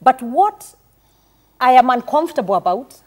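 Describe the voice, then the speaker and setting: A Kenyan woman senator on a panel, pushing back with measured, firm reasoning. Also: high voice, lively intonation, darkish tone. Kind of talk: debate rebuttal.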